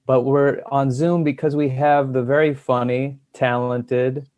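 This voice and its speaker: A man's voice: mid-range voice, level tone, measured pace, a little mellow, no room sound. A man hosting a podcast, introducing the show and guest in a self-mocking, joking way. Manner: self-deprecating, jokey. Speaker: podcast host. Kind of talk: casual podcast chat.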